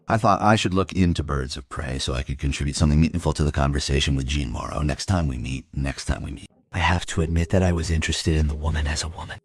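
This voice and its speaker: A man playing a middle-aged homicide inspector who narrates a crime audio drama in a wry, musing, literary manner. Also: a bass voice. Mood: wry, reflective.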